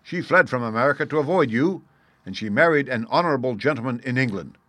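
A man's voice: mid-range voice, lively intonation, quick pace, fairly bright tone. A man voicing a masterful, composed detective in an audiobook, speaking in a precise, formal and authoritative way. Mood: stern. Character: masterful English detective, composed, stern and assured. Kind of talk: audiobook character dialogue.

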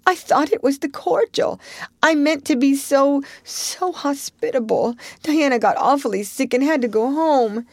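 A woman's voice: a little slow, no room sound. A woman voicing Anne, a dramatic, tearful young girl who wails and sobs as she speaks. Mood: wailing. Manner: wailing, sobbing, high and breathless.

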